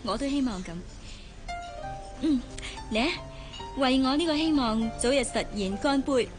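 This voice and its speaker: A woman's voice: high voice, animated, measured pace. An actress playing a young working woman in a Cantonese radio drama, wistful, polite and bashful, with a Hong Kong accent. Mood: gentle, hopeful. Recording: radio drama with music underneath. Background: background music.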